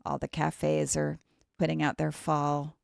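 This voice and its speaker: A woman from the Northeast US co-hosting a podcast, speaking slowly and descriptively with a frog in her throat. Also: low-pitched, completely flat delivery, creaky, darkish tone, no room sound, studio quality. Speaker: podcast co-host. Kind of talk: casual chat.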